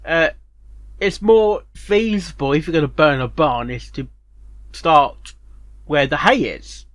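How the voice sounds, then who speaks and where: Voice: medium pitch, takes it slowly, dry recording. A host giving casual reaction commentary, rambling and often repeating words and phrases. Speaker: reviewer and host of a fanfiction reaction show.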